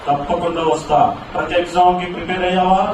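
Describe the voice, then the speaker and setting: Man as solemn kindly divine guide, gently instructing, puppet show, very echoey, distant. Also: high-pitched, fairly even intonation, fast, lightly acted. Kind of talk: children's puppet show dialogue. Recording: very echoey, distant, noisy hall.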